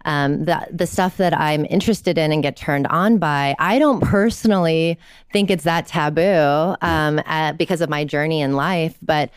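A woman therapist talking as a podcast guest, telling client stories in a lively way and acting out quotes. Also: deep voice, normal speed, clear, resonant tone, no room sound, studio quality. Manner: animated storyteller, acts out quotes. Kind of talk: conversational interview.

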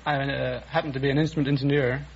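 A man's voice: medium pitch, level tone, fast talker, gravelly. A man answering as a contestant on an old radio quiz show. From Ireland. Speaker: instrument engineer. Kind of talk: unscripted interview answers. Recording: muffled noisy old radio, audience present.

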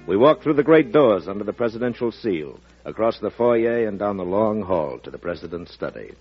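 A man's voice: lowish voice, big pitch swings, normal speed. A man narrating as announcer in a dramatic old-time radio style. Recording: muffled vintage radio broadcast. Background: music.

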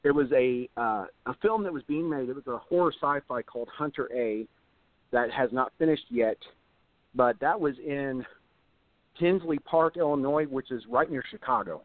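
Hoarse young actor, chatty, rambling phone interview guest, dry and tinny. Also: male voice, mid-range voice, normal speed, dry recording. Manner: rambling, many fillers and restarts. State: slightly hoarse. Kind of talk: casual interview over the phone.

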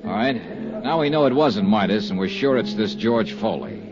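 A man acting hard-boiled, animated 1950s radio detective. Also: lowish voice, normal speed, lightly acted. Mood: insistent. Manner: clipped, hard-boiled, pressing. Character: tough, persistent insurance private investigator. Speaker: lead actor and narrator. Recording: muffled 1950s radio recording, music.

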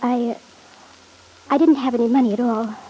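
An actress in a classic radio soap opera playing a fragile, lonely wife testifying hesitantly, on a noisy old recording. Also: female voice, a little high, fairly even intonation, dark tone, slightly rough. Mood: hesitant, pained. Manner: hesitant, halting.

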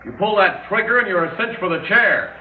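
Firm urgent cop in an old radio play, high-ish voice shouting orders, tinny hissy sound. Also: male voice, lively intonation, brisk. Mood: stern, urgent. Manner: calling out firmly from a distance. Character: stern, urgent male policeman negotiating from outside. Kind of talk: old-time radio drama acting. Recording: distant, echoey, tinny radio recording with hiss.

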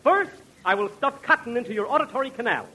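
High-pitched, pompous crackpot professor. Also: male voice, lively intonation, fast, sharp tone, husky, full character voice. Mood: pompous, fussy. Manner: mock-learned, pompous, grandly explaining. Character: pompous crackpot professor, fussy quack expert on dreams. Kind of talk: 1940s radio comedy acting. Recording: noisy vintage radio broadcast.

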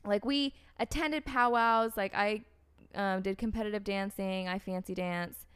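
A woman's voice: mid-range voice, fairly even intonation, unhurried, fairly bright tone, smooth. A young American woman telling a personal story in casual conversation, rambling and leaning on "like" and "um" as she restarts her sentences. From United States. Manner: rambling, heavy use of "like" and "um", frequent restarts.

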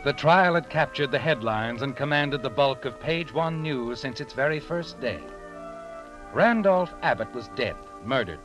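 Actor as a medium-pitched American mystery narrator man. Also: fairly bright tone, close to an everyday voice, low-quality recording. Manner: dramatic, deliberate storytelling. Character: knowing male narrator of a crime mystery. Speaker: radio drama narrator. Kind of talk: old-time radio mystery narration.

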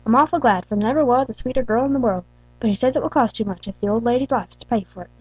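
A woman doing a high, rattling village gossip. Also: rapid-fire, slightly rough, no room sound, highs cut off. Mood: gossipy. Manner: gossipy, rattling on with folksy talk. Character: gossipy chattering country wife, middle-aged. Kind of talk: audiobook character reading.

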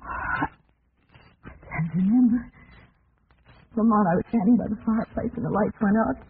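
A woman's voice: medium pitch, a little slow, distant mic, natural voice. A woman playing a detective's anxious, shaken young companion in an old radio mystery, dramatic, on a tinny, noisy recording. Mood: dazed, distressed. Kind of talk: vintage radio mystery acting.

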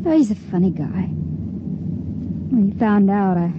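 An American actress playing a frightened, wistful young stowaway resigned to her fate, speaking haltingly and earnestly in a 1950s radio drama. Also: medium pitch, animated, lightly acted. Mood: wistful, regretful. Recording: noisy, muffled old radio recording.

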